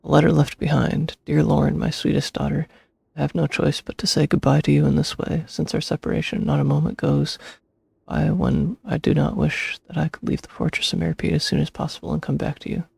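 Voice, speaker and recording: A young man streaming a game, reading its text aloud and commenting casually, with a creaky, husky voice. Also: a little high, little pitch movement, fairly quick, no room sound. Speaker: gaming streamer. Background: soft game music.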